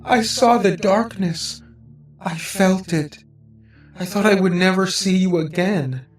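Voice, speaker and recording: A man's voice: sits high, slow pace, echoey room, distant mic, faint music behind, pitched above the performer's own voice. A man voicing a frightened young robot girl in an audio story, whimpering and pleading with melodrama. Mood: breathless relief.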